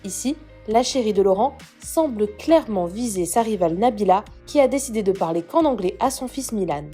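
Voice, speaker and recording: A woman reading scripted entertainment news as a smooth, steady voice-over. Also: mid-range voice, brisk, faint music behind.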